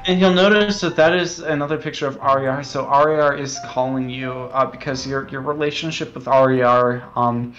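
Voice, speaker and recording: A man interviewed as a game developer, earnest and self-serious, speaking haltingly. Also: mid-range voice, steady tone, a bit bright, lo-fi sound. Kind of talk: mock interview.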